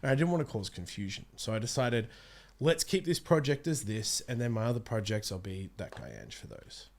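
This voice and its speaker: A man's voice: mid-range voice, a little fast. A young man speaking casually as a guest in an interview. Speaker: guest, amateur voice actor and streamer. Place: small home streaming room, indoors.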